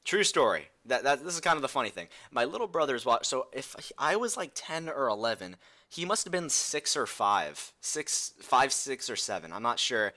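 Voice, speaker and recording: A young man telling a personal story casually, in an animated way, acting out bits of dialogue as he goes. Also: medium pitch, normal speed. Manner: animated retelling, acts out dialogue. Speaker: storytelling video creator.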